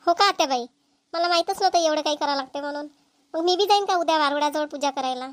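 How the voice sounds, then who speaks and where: A woman's voice: sits high, fast, close to an everyday voice. A woman voicing an earnest, stubborn young village woman in a Marathi cartoon, with a rural Maharashtrian accent. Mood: dutiful. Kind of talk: amateur cartoon comedy-drama dubbing.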